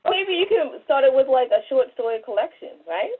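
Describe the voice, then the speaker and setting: A woman's voice: high-pitched, animated, brisk, breathy edge, phone-quality sound. A woman podcast host asking questions in a casual chat, heard through thin call audio.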